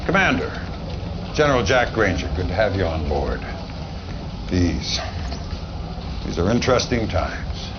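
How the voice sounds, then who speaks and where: A man's voice: fairly low pitch, lightly acted. A middle-aged man playing a wary veteran army general in a game cutscene, speaking with grave, emphatic authority. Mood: brisk welcome. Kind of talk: video game cutscene acting. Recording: dull audio, clear background noise.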